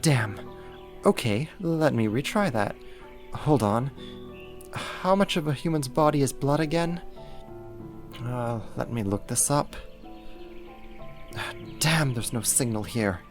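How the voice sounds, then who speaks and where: A man's voice: medium pitch, lively intonation, slow pace, pauses often, faint music behind. A man playing a slight, possessive, vain vampire in a roleplay audio drama, sarcastic, haughty and fussy. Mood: flustered. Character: puny snarky jealous male vampire, possessive and vain.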